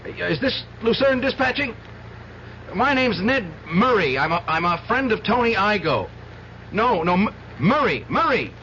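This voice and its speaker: Agitated, high-voiced, stammering man. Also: sing-song, sharp tone, exaggerated voice. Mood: frantic, pleading. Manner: halting, stammering, urgent. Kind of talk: 1970s radio drama acting. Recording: dull, noisy old radio broadcast.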